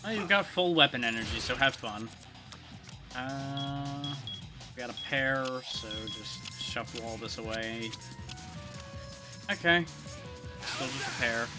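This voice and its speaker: A man's voice: mid-range voice, animated, takes it slowly, pauses often. A man giving casual, wry gameplay commentary as a streamer, in an offhand way. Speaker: game streamer. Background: game music.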